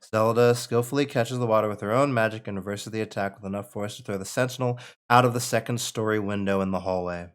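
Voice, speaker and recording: A low-pitched American man runs a script table read. He narrates and talks casually, like a writer sharing his own work with friends. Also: fast talker, few pauses, clean tone. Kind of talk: casual reading of screenplay action and post-read chat.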